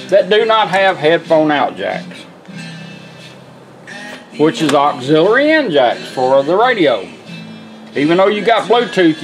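A man's voice: a little high, poor audio. A plainspoken, folksy man giving a casual hands-on product demonstration at a workshop bench. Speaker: product demonstrator, radio restorer.

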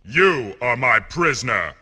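An actor playing a menacing, grandiose sorcerer villain in a cartoon video game cutscene, with a harsh gravelly voice. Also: male voice, mid-range voice, sing-song, measured pace, sharp tone, heavily acted. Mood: commanding. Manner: theatrical, grandiose. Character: menacing male sorcerer villain, gloating and bellowing.

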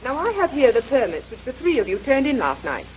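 A brisk lady detective in an old radio mystery, with a highish voice. She questions politely but with firm authority. The recording has a noisy background. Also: very animated, darkish tone. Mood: composed, firm. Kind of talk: 1930s radio mystery acting.

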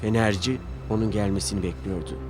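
A man's voice: on the low side, fairly even intonation, a little fast. A man narrating a scripted children's story as its storyteller. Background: music under the voice.

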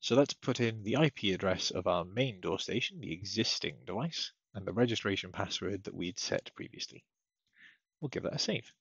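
A man giving a casual step-by-step software tutorial as a technical support presenter, recorded as a voice-over at his computer. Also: low-pitched, expressive, normal speed, creaky, slightly rough, dry recording. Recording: dull lo-fi close dry microphone.

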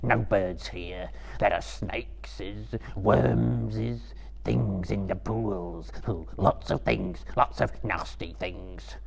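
A man voicing a wretched, sly small creature in a live book reading, hissing and rasping, with drawn-out, repetitive muttering. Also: fairly low pitch, darkish tone, heavily acted. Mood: gloomy, savouring.

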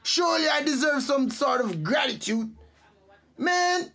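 A man's voice: very high-pitched, animated, measured pace, vocal fry, bright, forceful tone, heavily acted. A man playing a boastful, offended event host arguing insistently in a comic radio drama. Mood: indignant. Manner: insistent, argumentative.

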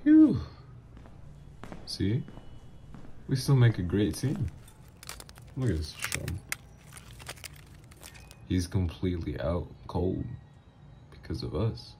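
Low slow creaky voice, guy doing a teasing psycho villain ex who keeps calling you babe. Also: very animated, background noise, exaggerated voice. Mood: flirty. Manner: teasing, calls listener babe. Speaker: roleplay voice actor. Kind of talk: ASMR roleplay audio.

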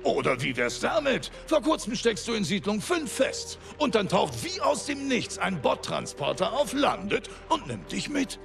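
A sarcastic veteran engineer from a video game, with a high male voice full of heavy gravel. His delivery is cocky and animated, bouncing through the pitches, with music behind him. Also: moderate pace, hardly any pauses, exaggerated voice. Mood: smug, sarcastic. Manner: smug, sarcastic.

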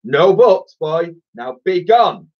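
Casting: villainous storybook shopkeeper twin, played by a male reader, raised swooping pitch, haughty, snappish, dim. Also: animated, slow, lo-fi sound, exaggerated voice. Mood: snarling spite. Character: nasty, spiteful, muddle-headed male twin toy-shop owner.

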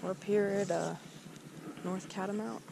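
A man talking casually to viewers while fishing by a lake, easily excited, saying "man" and "guys" a lot. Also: sits high, normal speed, vocal fry. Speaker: fishing vlogger. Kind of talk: casual first-person fishing vlog. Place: outdoors on rocks by a mountain lake. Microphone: head- or chest-mounted action camera. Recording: loud wind and outdoor noise.

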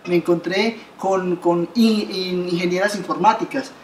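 A woman's voice: fairly low pitch, steady tone, a little slow, a little hiss or hum. A woman in her fifties from Colombia, telling her story as an interview guest in earnest conversational talk with many restarts. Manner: earnest, many restarts and repeated words, gestures while speaking. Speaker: interview guest, association founder. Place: bright living room by a window, indoors. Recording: roomy living room sound.

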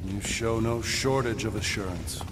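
A man's voice: lowish voice, normal speed, noisy background, natural voice. A man as a pompous cosmic warrior in reverb. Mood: grave. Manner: pompous, theatrical, ornate diction. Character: haughty golden cosmic warrior speaking in florid alliteration. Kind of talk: video game voice acting.

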